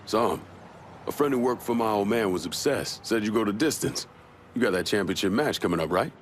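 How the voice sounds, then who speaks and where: A man playing a tough, calm young vigilante in a crime drama game, speaking in a dry, terse way. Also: fairly quick, slight background noise, close to an everyday voice. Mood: steady, concerned. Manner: dry, terse, low-key. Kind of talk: dramatic video game acting.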